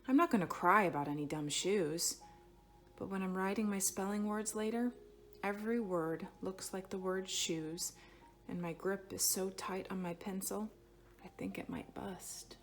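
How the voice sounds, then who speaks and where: A woman reading a children's picture book aloud expressively, with dramatic phrasing that stretches some words and hushes others. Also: mid-range voice, a little slow, a little hiss or hum. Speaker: storybook reader.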